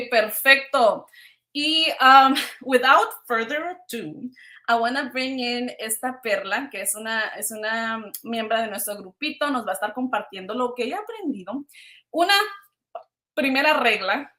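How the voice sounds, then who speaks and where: A woman's voice: sits high, bright, forceful tone. A Mexican woman hosting a casual livestream, chatting informally with viewers and switching between two languages. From Veracruz, Mexico. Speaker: livestream host. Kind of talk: casual live chat with viewers. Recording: roomy, echoing livestream sound.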